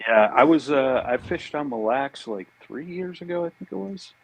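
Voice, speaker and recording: A man's voice: mid-range voice, animated, normal speed, creaky, poor audio. A man with a laid-back, slangy way of talking, chatting casually about fishing as a podcast guest.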